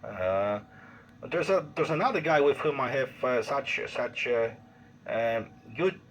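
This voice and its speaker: A man with a Polish accent chatting casually as an amateur radio operator, speaking haltingly and searching for words. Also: medium pitch, animated. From Poland. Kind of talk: casual radio contact chat. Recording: noisy unplanned handheld phone recording.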